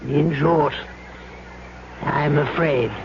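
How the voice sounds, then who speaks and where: An actor playing a scheming, falsely genial rival trader in a 1970s radio drama, with hesitant, clubby politeness. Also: male voice, a little high, fairly even intonation, extremely slow, vocal fry, exaggerated voice. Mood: feigned regret. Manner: hesitant, apologetic, clubby old-boy politeness. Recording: dull, noisy vintage radio recording.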